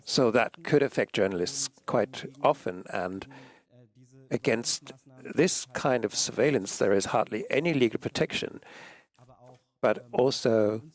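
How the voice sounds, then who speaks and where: A man speaking as a press freedom advocate at a conference panel, hesitant, with many fillers and throat clearing. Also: medium pitch, measured pace.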